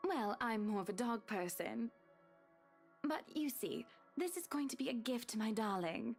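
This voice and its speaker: Guy doing a high sugary rich lady, slow and patronizing, soft music under it. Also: animated, gravelly, exaggerated voice. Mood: condescending. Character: pushy wealthy woman, sweetly condescending. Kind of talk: voicing a visual novel.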